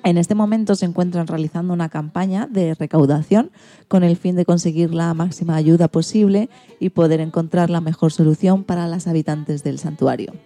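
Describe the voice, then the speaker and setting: A woman's voice: fairly low pitch, fairly even intonation, normal speed, mellow, no echo. A woman from hosting a radio show, reading a script with touches of light chat.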